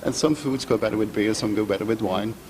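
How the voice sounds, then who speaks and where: A man's voice: fairly low pitch, fast, a little mellow. A man from Belgium with a Belgian accent, enthusiastically explaining beer and food pairing in a live TV interview at an outdoor festival. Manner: upbeat, enthusiastic promoter. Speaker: beer sommelier guest. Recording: faint background noise at an event.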